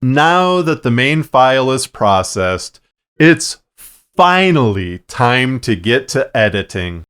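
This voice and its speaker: Slow, clear-voiced man explaining things. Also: medium pitch, very animated, a bit bright. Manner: measured, plain-spoken, instructional. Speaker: fiction podcast producer and narrator. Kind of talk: explanatory tutorial narration. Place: acoustically treated recording space.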